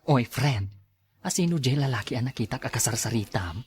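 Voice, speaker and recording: A woman's voice: a bass voice, animated, fairly quick, a little mellow, husky, dry recording, exaggerated voice. An actress playing an inquisitive, chummy female friend in a radio drama, with a Filipino accent. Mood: curious.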